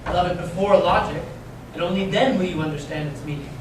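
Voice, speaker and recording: A man playing a gentle, devout young monk, sincere and simple in a staged reading. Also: high voice, fairly quick, lightly acted. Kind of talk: staged readers theater reading. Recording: echoey room, distant mic, noisy amateur recording.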